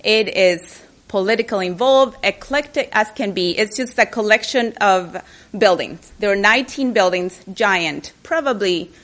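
A woman's voice: medium pitch, a bit bright. A woman gives a personal keynote speech at a library event, chatty and openly nervous, often restarting her sentences. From Chile. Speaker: keynote speaker.

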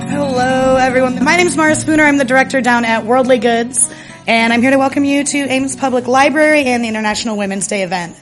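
A woman hosting a library event, welcoming the audience and introducing the speaker in a clear, measured way from prepared remarks. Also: on the high side. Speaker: event host, shop director.

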